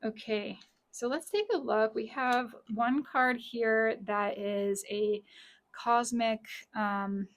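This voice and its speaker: Raspy woman reading tarot cards. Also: fairly high pitch, fairly even intonation, slow. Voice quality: raspy, scratchy. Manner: chatty, self-correcting, repeats key lines. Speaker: tarot reader. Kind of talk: casual pick-a-card tarot reading. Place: small home office.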